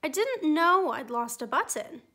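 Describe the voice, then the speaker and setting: A woman's voice: high voice, very animated, unhurried, sharp tone, heavily acted. A woman voicing a small toy teddy bear, wide-eyed and wondering.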